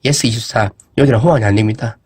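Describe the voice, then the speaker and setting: Husky-voiced man chatting through a gadget demo. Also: mid-range voice, fast talker. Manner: relaxed, chatty, thinks aloud with fillers and asides. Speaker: product reviewer. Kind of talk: casual product demo vlog.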